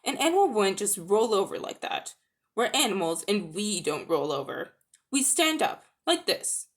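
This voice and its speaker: A woman's voice: mid-range voice, sing-song, moderate pace, close to an everyday voice. A woman voicing a proud, literal-minded talking bear in a storybook, contrary and demonstrative.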